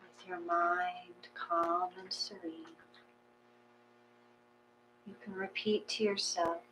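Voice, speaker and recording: A woman reading a guided meditation in a gentle, measured, calming way. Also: fairly low pitch, low-quality recording. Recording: clear mains hum.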